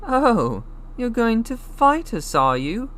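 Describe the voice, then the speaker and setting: A man's voice: very high voice, big pitch swings, takes it slowly, a bit bright, slight background noise, pitched above the performer's own voice, heavily acted. A man voicing a snobbish, sneering young schoolboy wizard with a mocking drawl, reading a storybook. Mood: sneering menace.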